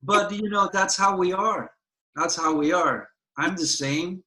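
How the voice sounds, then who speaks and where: A man's voice: sits high, measured pace, pushed and bright, rough recording. A man from Puerto Rico with a Puerto Rican Spanish accent, speaking as a guest actor in an earnest interview and repeating phrases for emphasis.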